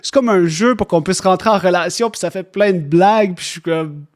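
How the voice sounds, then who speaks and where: Higher-voiced Québécois man chatting. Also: fairly quick. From Quebec, Canada. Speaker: podcast guest, clinic founder. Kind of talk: casual chat.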